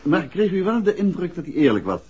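A man's voice: high-pitched, very animated, brisk, a little mellow, breathy edge, heavily acted. An actor playing a composed, courteous male detective in a 1960s radio drama. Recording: muffled hissy vintage radio recording.

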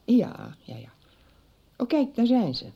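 A woman's voice: on the high side, very animated, measured pace, vocal fry, husky, full character voice. A woman playing an upset, blunt middle-aged mother in a radio soap. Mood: brisk, indignant. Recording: dull 1990s radio recording.

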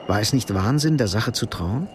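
An actor narrating a Cold War thriller radio drama in a sober, measured, literary manner. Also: male voice, on the low side, animated, background noise, close to an everyday voice. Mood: sober, suspenseful. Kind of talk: scripted narration.

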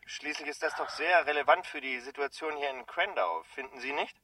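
Sharp, pedantic male caller. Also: on the high side, brisk, recorded from a distance, a little hiss or hum. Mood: smug, challenging. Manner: precise, smug, challenging. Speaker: radio caller. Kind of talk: radio drama acting.

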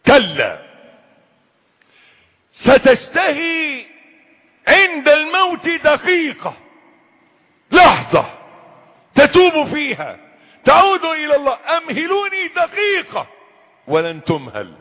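A high-voiced preacher whose pitch leaps and falls as he pleads, cries out, then drops to a hushed warning. His tone is bright and forceful. His voice rings through a reverberant hall. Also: wildly swooping pitch, slow, long pauses. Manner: impassioned, swings between loud cries and hushed low warnings. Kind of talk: sermon. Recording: echoey hall with long reverb.

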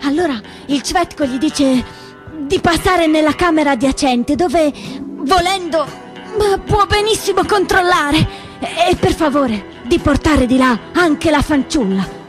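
A woman performing scripted theatrical storytelling, with dramatic, emphatic and deliberate phrasing that moves between hushed and declamatory. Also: sits high, lively intonation. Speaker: solo theatre performer and narrator. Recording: roomy stage sound, faint music behind.

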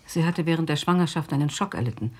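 A knowing older nurse in a radio drama. Her low voice is extremely husky, and she speaks gravely and deliberately. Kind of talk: German radio drama acting.

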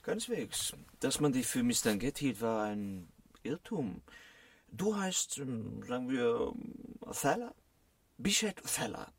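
An actor playing a cool, world-weary 1920s gentleman swindler in a radio play, clipped, imperious and dryly ironic. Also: medium pitch, big pitch swings, slow, slight background noise. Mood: smug, explaining.

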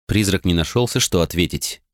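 A man narrating an audiobook in a calm, measured way. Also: deep voice, no echo, studio quality. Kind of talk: scripted narration.

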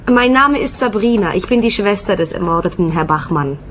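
A woman's voice: medium pitch, lively intonation, fairly quick, slight background noise, lightly acted. An actress playing a brazen, mercenary call girl in a radio play, cool, cheeky and matter-of-fact. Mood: cool, teasing.

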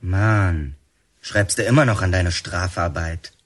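An actor playing a tough, scornful young male inmate in a radio drama, gruff and slangy. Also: low-pitched, takes it slowly, a bit bright, exaggerated voice. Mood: mocking. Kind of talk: German radio drama acting.

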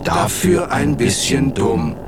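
Very slow, deadpan radio-play reciter. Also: male voice, medium pitch. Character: deadpan reciter of aphorisms and silly rhymes. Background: loud music.

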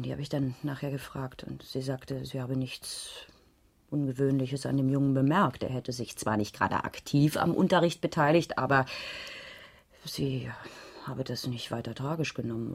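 A woman's voice: extremely low pitch, husky, heavily acted. A woman playing a concerned schoolteacher under questioning in a radio crime drama, speaking in a careful, reflective, slightly hesitant way. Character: concerned primary school teacher being questioned, thoughtful and defensive. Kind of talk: 1980s German radio drama dialogue.

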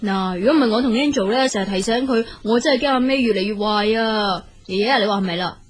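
A woman's voice: mid-range voice, fast talker, close to an everyday voice. A woman playing a teenage schoolgirl in a radio drama, coaxing and pleading, with a Hong Kong Cantonese accent. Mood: earnest, defensive. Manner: coaxing, whiny pleading with her parents. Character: pleading teenage schoolgirl, loyal to her friends.

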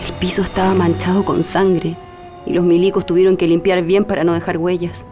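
A woman's voice: lowish voice, flat delivery, fairly quick, warm tone, close to an everyday voice. Actress as a grave, sorrowful woman remembering. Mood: sorrowful, insistent. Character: sorrowful woman insistently recalling atrocities against prisoners. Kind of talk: radio drama acting, reminiscence. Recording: faint music under the voice.

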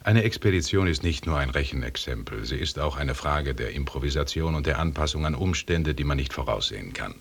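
Very deep, husky commanding expedition leader. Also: male voice, animated, a little fast, few pauses, heavily acted. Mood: measured, commanding. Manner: formal, precise, lecturing. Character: authoritative British expedition leader, pedantic and commanding. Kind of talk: German radio drama acting.

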